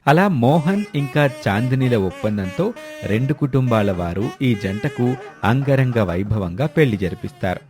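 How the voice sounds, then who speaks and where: A man's voice: medium pitch, expressive, moderate pace, a little mellow, faint music behind. A man reading scripted narration for a moral family story.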